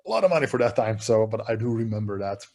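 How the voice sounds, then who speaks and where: A man from Serbia, a relaxed, chatty conference speaker giving a talk over a video call. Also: lowish voice, racing, gravelly. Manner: relaxed, chatty, self-deprecating.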